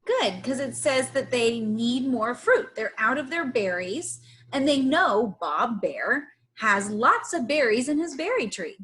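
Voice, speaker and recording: A woman with a high voice teaches through a children's read-aloud. Her pitch moves in lively swings and her pace is unhurried. She speaks in an instructional way and pauses to put questions to her young listeners.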